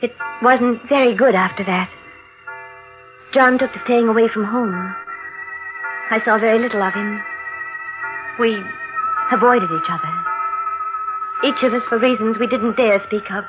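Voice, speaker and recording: Actress as anxious high-voiced wife, vintage radio. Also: female voice, fairly even intonation, unhurried, long pauses, close to an everyday voice. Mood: mournful narration. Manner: hesitant, dramatic, evasive. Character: anxious, jealous wife narrating and pleading, evasive. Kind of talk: 1940s radio thriller acting. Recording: old radio broadcast, thin phone-like sound, faint music.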